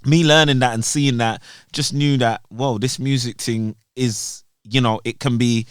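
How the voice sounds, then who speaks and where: A man's voice: mid-range voice, fairly even intonation, a little slow, clean tone, dry recording, studio quality. A man with a London accent, originally from Jamaica, telling his story as a podcast guest in a laid-back, rambling way with street slang. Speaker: guest musician. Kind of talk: casual podcast interview. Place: podcast studio.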